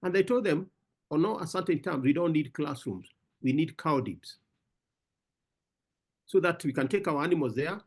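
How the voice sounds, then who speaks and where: A man with a somewhat high, gravelly voice answers questions at an online conference. His pitch swings widely as he presses his points, and he often repeats words before landing them. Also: animated, normal speed, pauses often. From Africa. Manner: emphatic, repeats words, addresses listener as brother. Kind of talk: animated panel talk.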